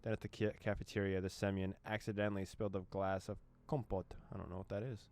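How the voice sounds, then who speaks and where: A man's voice: sits low, flat delivery, fast talker, darkish tone. A man hosting a let's play, reading a visual novel aloud with playful asides and dramatic reading.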